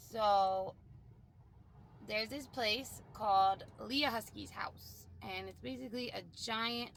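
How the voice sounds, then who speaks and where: A woman's voice: mid-range voice, bright, forceful tone, a little hiss or hum. A woman talking casually and informally, telling an improvised story in a rambling way with plenty of filler "like".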